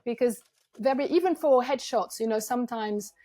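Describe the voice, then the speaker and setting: Guest: French-accented woman, warm higher voice, chatty and hesitant. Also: moderate pace. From France. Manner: chatty, many ums and restarts. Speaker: guest photographer. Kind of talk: casual podcast interview.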